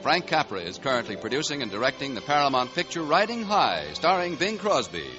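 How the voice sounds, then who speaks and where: A man's voice: on the high side, very animated, brisk, music in the background. An American man announcing in a formal, polished 1940s broadcast style from a script. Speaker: radio announcer. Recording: muffled 1940s radio recording.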